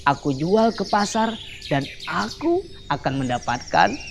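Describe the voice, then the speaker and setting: A woman voicing a greedy, boastful male woodcutter who talks loudly and cackles. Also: low-pitched, big pitch swings, measured pace, creaky, slight background noise, heavily acted.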